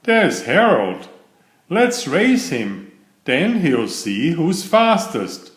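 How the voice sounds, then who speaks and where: A man's voice: fairly high pitch, big pitch swings, very slow, pitched above the performer's own voice, exaggerated voice. A man voicing a keen, competitive male train driver in a storybook, urging and exclaiming. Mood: eager. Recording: roomy, echoing voice recording.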